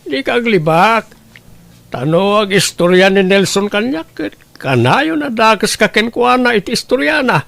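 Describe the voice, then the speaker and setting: A man's voice: high voice, animated, moderate pace, gravelly, fairly bright tone, no room sound, full character voice. A man playing a bitter, blaming grown son in an audio drama, complaining in an accusing way with an Ilocano accent.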